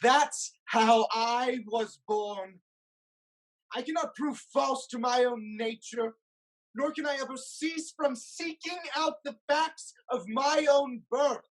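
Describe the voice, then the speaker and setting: A woman playing a proud, stubborn king of ancient Thebes, declaiming deliberately in a theatrical reading. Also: high-pitched, low-quality recording. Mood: proud defiance. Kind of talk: theatrical staged reading of Greek tragedy.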